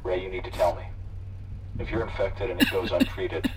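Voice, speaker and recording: A man's voice: low-pitched, flat delivery, brisk, crowd noise, close to an everyday voice. An actor playing a menacing, coldly persuasive male pursuer who talks with insistent, condescending control in an audio drama. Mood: coldly accusing. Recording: distant, noisy vehicle radio.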